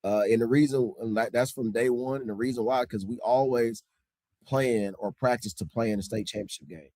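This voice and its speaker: A man, a high school basketball coach, talking casually in an interview, emphatic and repeating points for stress. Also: fairly quick, mellow, no room sound. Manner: strict, old-school tone.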